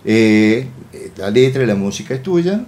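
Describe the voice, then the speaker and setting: Mellow-voiced Argentine radio host. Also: male voice, on the low side, lively intonation, a little slow. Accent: Argentine Rioplatense. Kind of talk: casual interview.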